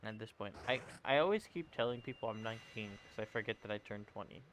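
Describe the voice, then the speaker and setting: A man's voice: fairly low pitch, fast, a bit bright, slight background noise. Young man chatting on a game stream. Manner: rambling, self-correcting, answering chat. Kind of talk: casual gaming livestream chat.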